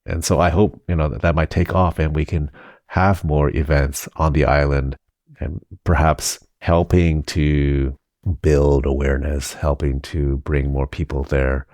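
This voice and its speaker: A man's voice: a bass voice, level tone, measured pace, a little mellow, no room sound, studio quality. A man hosting an interview, speaking in a friendly, casual way and often repeating words as he finds his thoughts. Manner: friendly, repeats words while finding them. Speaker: host.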